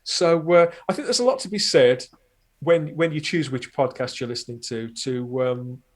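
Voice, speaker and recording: A man's voice: a little high, normal speed. A man talking casually as a podcast guest and reviewer, discursive and often rephrasing himself, with wry understatement.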